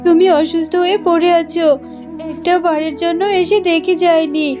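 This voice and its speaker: A high-pitched cartoon fox wife, played by a woman, devoted but careworn. She speaks plaintively and emphatically, like a homely village mother, over music. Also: little pitch movement, normal speed. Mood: worried, pleading. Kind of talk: dramatised Bangla cartoon story.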